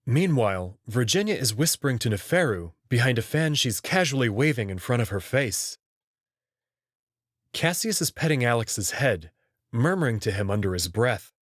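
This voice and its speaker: A man giving a measured, scripted first-person narration as he reads a visual novel. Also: medium pitch, very animated, no echo, clean studio sound. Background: prominent music.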